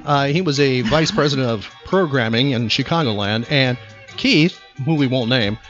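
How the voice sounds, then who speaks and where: A man's voice: medium pitch, lively intonation, normal speed, a bit bright, dull audio, soft background music. A man hosting a chatty trivia podcast in a playful, teasing manner. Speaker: podcast host.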